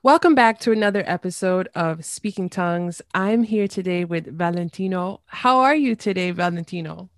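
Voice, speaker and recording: A woman speaks as a podcast host in a conversational interview style. Her voice sits on the low side. The sound is dry, with very little room in it. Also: normal speed, hardly any pauses, no echo.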